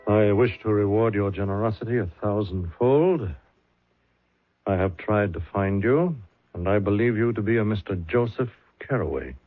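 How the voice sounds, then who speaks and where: An actor playing a genteel, courteous, pensive male writer in an old-time radio drama, speaking in a measured, musing way. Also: fairly low pitch, close to an everyday voice. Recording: muffled vintage 1970s radio recording.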